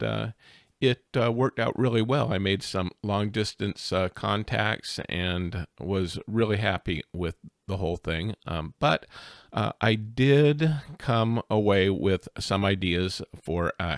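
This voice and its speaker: A man's voice: on the low side, takes it slowly, hardly any pauses, dry recording, clean studio sound. A man narrating his own hobby project in a casual how-to style, hesitant and full of filler sounds. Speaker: hobbyist video maker. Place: outdoors in the desert.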